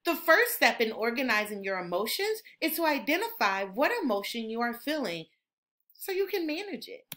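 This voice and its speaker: Bright, animated woman reading to young children. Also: on the high side, normal speed. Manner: expressive, instructional, addressing young children. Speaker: teacher reading a picture book aloud. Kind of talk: animated children's read-aloud.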